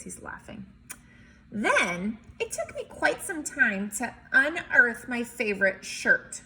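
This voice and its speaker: Expressive woman reading aloud, bright voice. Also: medium pitch, vocal fry, slight background noise, rough recording. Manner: animated, emphatic reading with chatty commentary. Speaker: teacher or reader reading a picture book aloud. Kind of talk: expressive storybook read-aloud with casual asides to children.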